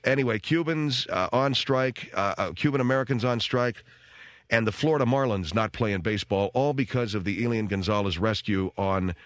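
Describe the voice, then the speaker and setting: A man's voice: lowish voice, normal speed, dry recording. A man hosting a radio call-in show, probing callers with questions. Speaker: radio talk show host.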